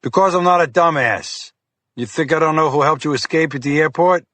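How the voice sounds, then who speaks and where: A man's voice: fairly high pitch, a little fast, a bit bright, no echo, voice pushed up. A man voicing a blunt, scolding, tubby middle-aged male FBI agent with a Boston accent in an audiobook. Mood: gruff irritated. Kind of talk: audiobook character voice.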